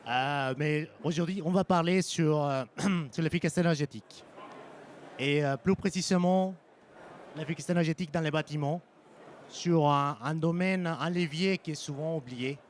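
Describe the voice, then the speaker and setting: A man with a Mexican Spanish accent giving a conference presentation as a sales engineer, pausing often with ums and restarting phrases. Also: on the high side, slight background noise. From French-Mexican. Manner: hesitant, frequent ums and restarts. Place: conference hall.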